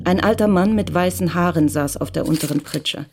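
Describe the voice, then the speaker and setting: Dark, low-voiced woman narrator. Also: normal speed, creaky, slight background noise. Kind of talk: scripted radio play narration.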